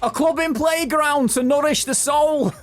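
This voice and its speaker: A man's voice: very high-pitched, a little slow. A man voicing a party-loving police constable who speaks theatrically in rhyming couplets for a comic audio drama. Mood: dreamy, grandiose.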